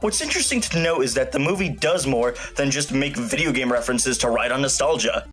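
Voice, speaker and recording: A man's voice: mid-range voice, lively intonation, fairly quick, fairly bright tone, faint music behind. A man hosting and narrating a scripted film review in a wry, conversational, emphatic way. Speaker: film reviewer, show host.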